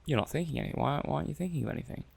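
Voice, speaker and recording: A man's voice: on the high side, expressive, very fast, creaky, a little mellow, breathy edge, no echo, full character voice. A man playing a mild-mannered, puzzled robot-testing technician in an ASMR roleplay, hesitant and muttering to himself. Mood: puzzled, intrigued. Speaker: roleplay performer.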